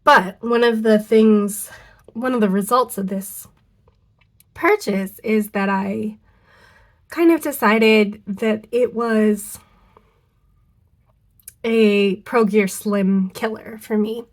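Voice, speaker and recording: A woman's voice: medium pitch, slow pace, pauses often. Congested woman chatting about pens. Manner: chatty, rambling, self-correcting with many ums and likes. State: nasal and congested from a cold, losing her voice. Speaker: fountain pen vlogger. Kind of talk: casual unboxing chat to camera. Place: home craft studio.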